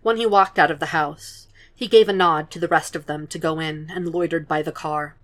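Low-voiced woman reading a story. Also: steady tone, moderate pace. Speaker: fan fiction reader. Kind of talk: podfic narration.

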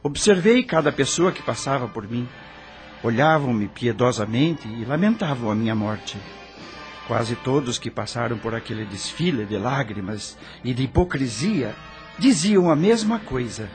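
A male actor plays a dead rock star telling his own story in a Brazilian radio drama. The voice is mid-pitched, solemn and theatrical, heavy with torment and regret. Faint music plays underneath. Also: lively intonation, measured pace, close to an everyday voice. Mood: resigned, suffering.

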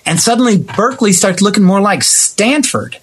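American male, high voice with a raspy edge, emphatic conversational delivery. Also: very animated, moderate pace. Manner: emphatic, acting out imagined quotes. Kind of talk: opinionated conversation about society.

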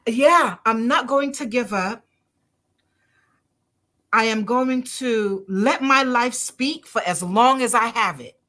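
A woman's voice: a little high, slow pace, sharp tone. A middle-aged woman from Barbados, a doctor talking in an interview, earnest and emphatic, repeating her key phrases. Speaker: doctor and podcast guest. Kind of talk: conversational interview.

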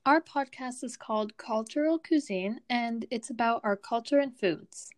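Teen girl from turkey, chatty podcast cohost, highish voice. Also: normal speed. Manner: chatty, lots of "like". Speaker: student podcast co-host. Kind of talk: casual podcast chat.

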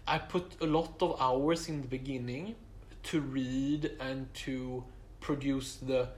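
A man speaking English, which is not his first or second language, answering interview questions about his application in a measured way, with many fillers and restarts. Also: medium pitch, fairly even intonation. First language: not English; English is his third language.

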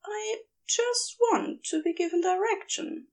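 Casting: anxious, tender male angel for audio fiction, high-pitched, fussy, hushed and hesitant played by a woman. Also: slow pace, distant mic. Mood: anxious, pleading.

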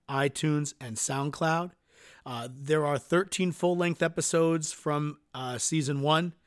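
A man's voice: a little high, clean tone, dry recording, clean studio sound. A man hosting a solo podcast, earnest and dotted with uhs, sounding nasal from a cold. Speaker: podcast host.

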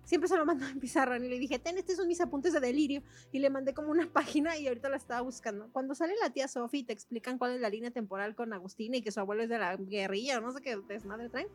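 A woman's voice: high voice, fast, hardly any pauses, faint music behind. A young woman with a Mexican accent, co-hosting a casual book chat, slangy and chatty with laughs between words. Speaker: podcast co-host.

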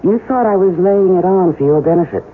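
An American actress playing a scheming, seductive wife in a radio drama, coaxing and insistent. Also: female voice, on the low side, a little fast, natural voice. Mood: coaxing, probing. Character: scheming, seductive wife coaxing her lover toward murder. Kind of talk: 1970s radio drama acting. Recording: tinny, faintly hissy old radio broadcast.

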